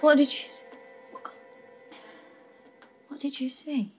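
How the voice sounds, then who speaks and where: A woman's voice: sits high, flat delivery, slow. A woman acting a defensive, easily upset young fiancée in a naturalistic film drama, with music under her voice. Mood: angry, indignant. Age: young adult. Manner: indignant, emotional. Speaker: actor in short film. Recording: loud music under dialogue.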